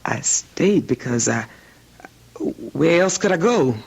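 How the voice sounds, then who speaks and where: Fearful, devoted housekeeper and mother in a radio mystery: older, husky voice, humble and pleading. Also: low voice, animated, slow pace, a little mellow, heavily acted. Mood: guarded, fearful. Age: middle-aged or older. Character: anxious, long-suffering older housekeeper, protective mother. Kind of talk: old-time radio drama acting.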